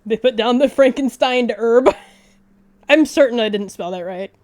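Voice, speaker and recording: A woman's voice: fairly high pitch, measured pace, a little mellow. A woman narrating a video game roleplay in story style, with casual asides to viewers. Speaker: gaming video creator.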